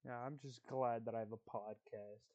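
A man's voice: mid-range voice, steady tone, moderate pace, a little mellow, highs cut off. A man hosting a podcast in casual chat, telling rambling stories with plenty of swearing. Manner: loose, profane, rambling storytelling. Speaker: podcast host.

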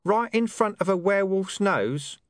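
A man voicing a scruffy, streetwise male talking dog with a high, brash voice. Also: lively intonation, normal speed, dry recording, clean studio sound. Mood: incredulous.

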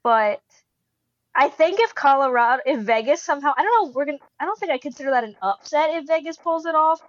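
A woman's voice: high-pitched, quick pace, no room sound, lo-fi sound. A tired young woman co-hosting a sports podcast who talks in a rambling, hesitant way. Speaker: podcast co-host. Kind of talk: casual sports podcast chat.

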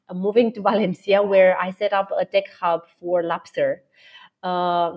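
A woman's voice: lowish voice, muffled recording. A middle-aged woman from Romania, a guest executive answering interview questions with enthusiasm, many fillers and restarts.

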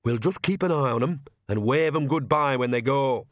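A man's voice: mid-range voice, moderate pace, no echo, clean studio sound, lightly acted. A man voicing a wrinkled, bossy old village witch woman in an audiobook, folksy, blunt and colloquial. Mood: brisk, knowing. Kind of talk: audiobook character voice.

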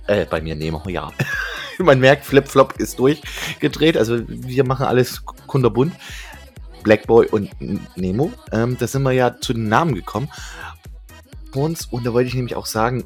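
Ein Mann in seinen Zwanzigern, Co-Moderator eines Podcasts, plaudert locker und scherzt viel. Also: male voice, medium pitch. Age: 20s. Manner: laughs often, joking. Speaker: podcast co-host. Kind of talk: casual chat.

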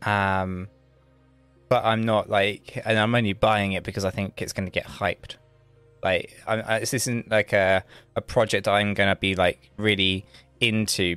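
A man's voice: sits low, a bit bright, dry recording. A man co-hosting a livestream, giving loose, rambling market analysis full of fillers.

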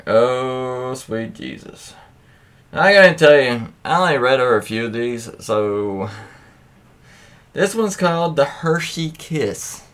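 A man's voice: mid-range voice, animated, slow pace, fairly bright tone. A man hosting a podcast, reading anecdotes aloud casually with informal, profane asides and interjections. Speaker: podcast host. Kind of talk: casual read-aloud of anecdotes with offhand commentary.

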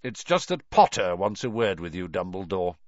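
Brief: a man voicing a growling, gruff veteran, blunt, dry sound. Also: low voice, very animated, moderate pace, dry recording. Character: gruff grizzled older male auror. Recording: dull, dry audiobook recording.

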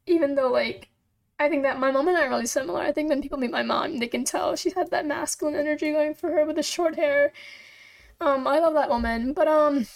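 A young woman from Pakistan hosting her own podcast, chatting alone in a rambling, candid way with lots of "like" and "um". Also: high voice. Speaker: podcast host. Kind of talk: casual solo chat. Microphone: own microphone.

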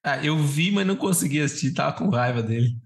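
A man's voice: a little high, lively intonation, quick pace, gravelly. A man with a Brazilian Portuguese accent chatting casually and informally as a podcast co-host, using plenty of slang. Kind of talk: casual chat.

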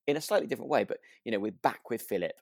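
A man's voice: mid-range voice, animated, racing, creaky, no room sound, clean studio sound. A British man co-hosting a casual discussion of a radio drama, hesitant and often rephrasing himself as he goes. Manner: hesitant, circling phrasing with self-interruptions. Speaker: podcast co-host.

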